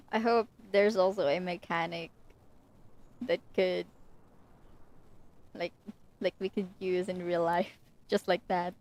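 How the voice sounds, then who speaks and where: A woman's voice: on the low side, steady tone, takes it slowly, long pauses, darkish tone. A woman livestreaming a game, casually thinking aloud and giving brief reactions.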